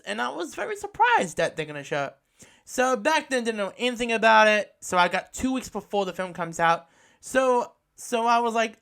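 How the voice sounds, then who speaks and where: A man's voice: high-pitched, pushed and bright, clear, resonant tone. A man from Australia hosting a podcast in a casual solo talk, earnest and enthusiastic, often repeating and rephrasing as he goes. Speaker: podcast host. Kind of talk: casual podcast monologue, reviewing anime.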